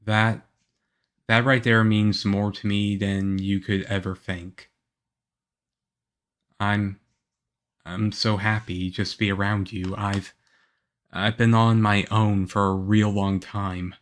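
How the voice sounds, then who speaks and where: A man playing a shy, moody, lonely young half-vampire boyfriend in an ASMR roleplay, with a hesitant, halting, earnest delivery. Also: on the low side, flat delivery. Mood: hesitant, touched, then quiet, matter-of-fact.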